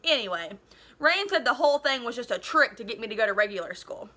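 A woman's voice: a little high, expressive, quick pace, pushed and bright. A woman in her thirties reading a children's novel aloud expressively, like a teacher. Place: living room at home.

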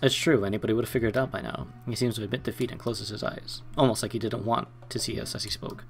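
Brisk, gravelly male let's play host. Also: on the low side, steady tone, faint music behind. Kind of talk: reading game narration aloud with asides.

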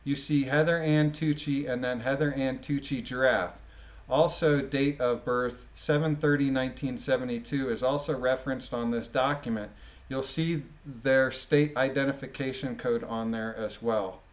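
A man reading a document aloud and adding informal, emphatic commentary with halting phrasing. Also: mid-range voice, steady tone, poor audio. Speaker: commentator, former police officer.

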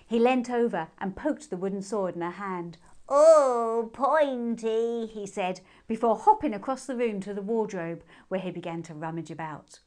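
A woman's voice: medium pitch, moderate pace, few pauses, dark tone. A woman with a British accent reading storybook narration aloud expressively for children.

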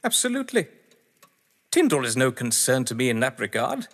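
A man's voice: mid-range voice, big pitch swings, brisk, fairly bright tone. A man acting in an audio drama as a witty, haughty husband under questioning, verbose, sardonic and theatrical. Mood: smug. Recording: echoey hall-like reverb.

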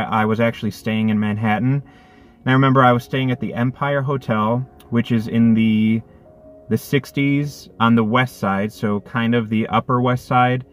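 A man in his thirties, a delivery driver, telling a story earnestly from a parked car, with lots of restarts. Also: mid-range voice. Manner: earnest, thoughtful, with many restarts. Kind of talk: casual reflective storytelling. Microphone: phone camera on dashboard.

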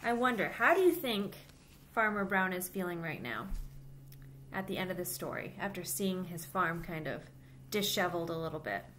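A woman in her thirties reading a children's picture book aloud, with simple, deliberate phrasing for young listeners. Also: lowish voice, animated, fairly bright tone, slight background noise. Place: small plain-walled room indoors.

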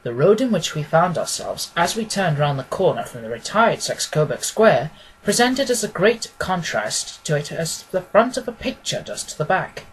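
A man's voice: fairly high pitch, slight background noise, poor audio. A man reading scripted literary narration as an audiobook reader.

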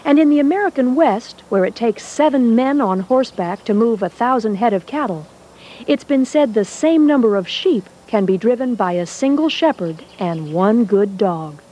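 A woman reading scripted documentary narration in a measured, even way. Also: fairly high pitch, husky.

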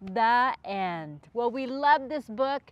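A middle-aged woman hosting storytime, reading aloud to young children with expressive, drawn-out emphasis. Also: fairly high pitch, lo-fi sound.

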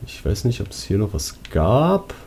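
Low, dark, husky male game commentator. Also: wildly swooping pitch, moderate pace, slight background noise. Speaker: let's play host.

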